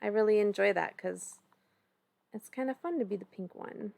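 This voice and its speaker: Streamer co-host, thirties, Minnesota accent, a little gravelly, talks through her moves. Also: mid-range voice, normal speed, a little mellow, no room sound. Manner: chatty, thinks aloud through her moves. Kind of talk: casual chat while playing an online board game. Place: home room, indoors.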